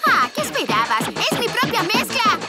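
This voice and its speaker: A woman's voice: extremely high pitch, big pitch swings, normal speed. A woman voicing a cheeky, confident little girl in a children's TV dub, breezy and teasing. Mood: proud, playful. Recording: loud music behind the voice.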